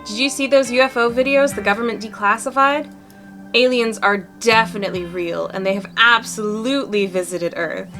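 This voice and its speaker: A woman's voice: on the high side, moderate pace, over music, lightly acted. A woman playing a chatty, upbeat young cryptid hunter who makes videos, with an earnest, folksy way of talking in fan-dubbed game dialogue. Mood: excited, convinced.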